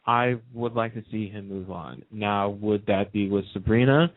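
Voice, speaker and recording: Male radio host, lowish voice, gossipy and opinionated casual chat, very narrow phone-like sound. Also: moderate pace, no room sound. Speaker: radio talk show host. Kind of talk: casual chat about TV soaps.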